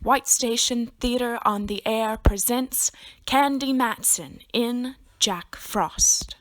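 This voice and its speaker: A woman's voice: fairly high pitch, takes it slowly, a bit bright, low-quality recording. A woman reading a scripted announcement as a programme announcer.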